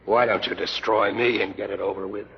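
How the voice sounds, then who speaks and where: A man's voice: fairly low pitch, steady tone, fairly quick, vocal fry, husky, heavily acted. An actor playing a brash, hot-headed male rebel aboard a starship in an old radio drama. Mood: wary, demanding. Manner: brash, insistent. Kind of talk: 1950s radio drama acting. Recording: muffled old radio recording.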